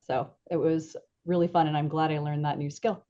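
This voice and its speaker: A woman's voice: deep voice, little pitch movement, moderate pace, warm tone, highs cut off. A woman on a video call telling a story in a casual, upbeat way, laughing often and using many fillers. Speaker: photographer and filmmaker guest. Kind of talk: casual online panel chat.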